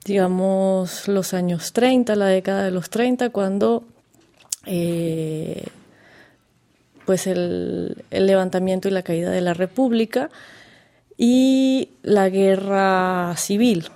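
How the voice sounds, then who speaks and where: A woman's voice: medium pitch, slow, mellow. A woman hosting an interview, asking long, winding questions with many fillers. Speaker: interview host.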